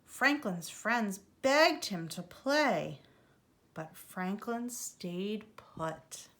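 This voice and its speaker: A woman reads a storybook for young children like a preschool teacher. Her voice is bright and very lively in pitch, and she moves slowly and deliberately through the sentences. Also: slight background noise. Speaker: preschool teacher reading aloud. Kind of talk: expressive children's storybook reading.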